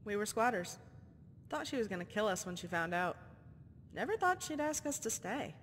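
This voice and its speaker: A woman's voice: expressive, moderate pace, a bit bright, natural voice. An actress voicing a tough, guarded orphan girl who talks in clipped, terse phrases. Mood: gruff. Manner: clipped, terse street talk. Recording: game audio with long reverb and faint rumble.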